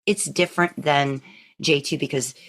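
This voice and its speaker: A woman podcast host in casual conversation, telling rambling, animated stories. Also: low voice, normal speed. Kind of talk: casual chat.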